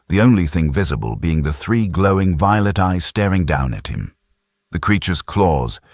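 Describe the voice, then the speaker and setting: A man narrates a sci-fi audiobook in a very deep, husky voice. His delivery is dramatic, with animated pitch movement. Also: dry recording, clean studio sound.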